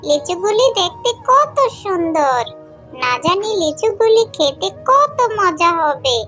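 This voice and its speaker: Squeaky, pleading little fox girl. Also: sing-song, bright, forceful tone, faint music behind, heavily acted. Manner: coaxing, drawn-out childlike delivery. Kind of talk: children's cartoon dubbing.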